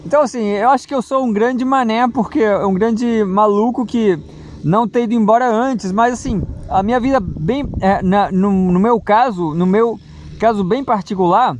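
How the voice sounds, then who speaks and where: A man's voice: sits high, moderate pace, darkish tone, a little hiss or hum. A man, rambling through a solo political monologue, full of hesitations and restarts. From Brazil. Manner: hesitant, many fillers and restarts. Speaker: political commentator.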